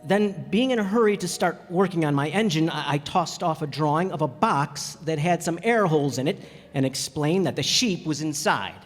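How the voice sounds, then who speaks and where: A stranded pilot played by a man tells his story in a fairly high male voice. He is reflective yet easily flustered, in radio-play style. The sound has long hall reverb and music behind it. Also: moderate pace, few pauses, lightly acted. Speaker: narrator and character. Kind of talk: radio drama acting and narration.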